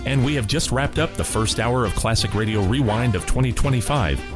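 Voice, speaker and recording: A man's voice: mid-range voice, brisk, music in the background. A man presenting a radio show as host, reading scripted introductions.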